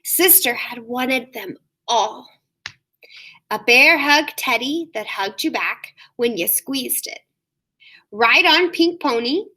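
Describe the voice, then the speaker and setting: A woman reading a story aloud to young children like a teacher, expressive, with drawn-out emphasis. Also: high voice. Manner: expressive, asks listeners questions, drawn-out emphasis.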